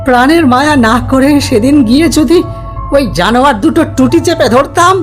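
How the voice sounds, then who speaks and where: A woman's voice: sits high, a little fast, close to an everyday voice. A woman voicing a frail, distraught old male servant in a cartoon ghost story, pleading and lamenting. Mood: bitter regret. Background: music.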